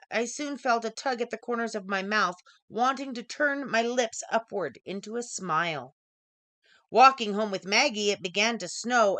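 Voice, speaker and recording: A woman giving an expressive scripted reading of her own short story as its author. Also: on the high side, no room sound, clean studio sound.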